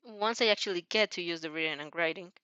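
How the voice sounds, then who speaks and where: A woman's voice: fairly low pitch, quick pace, bright, forceful tone, smooth, no echo, dull audio, pitched below the performer's own voice. A woman voicing a cheerful, confident young vampire girl in a fan fiction reading, casual and self-assured. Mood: matter-of-fact.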